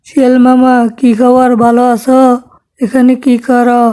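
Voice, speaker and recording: A woman plays a caring little mother bird in a cartoon tale. Her voice is fairly high and mellow, with a simple, motherly way of talking. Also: monotone, measured pace, lightly acted. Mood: friendly.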